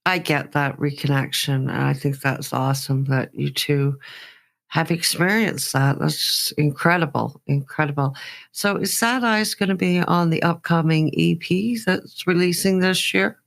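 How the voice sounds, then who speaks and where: A woman's voice: sits low, steady tone, unhurried, gravelly. A woman hosting an interview show in a casual, conversational style.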